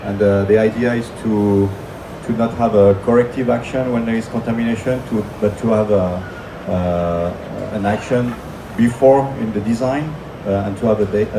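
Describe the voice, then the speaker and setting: A man with a French accent giving a technical conference presentation, hesitant, full of filler sounds and restarts. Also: on the low side. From France. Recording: echoey room from far off, noisy.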